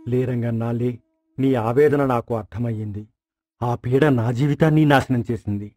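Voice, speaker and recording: A man's voice: medium pitch, moderate pace, warm tone, dry recording, clean studio sound, lightly acted. A man voicing an embittered, shrewd and weary old grandfather in an acted village story. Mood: weary, bitter. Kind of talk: acted moral story dialogue.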